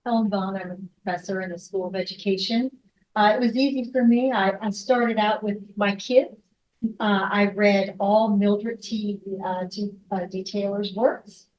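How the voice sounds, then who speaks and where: A middle-aged woman, a professor of education, introduces herself briskly and talks in quick bursts. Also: mid-range voice, fairly even intonation, a little mellow, dull audio.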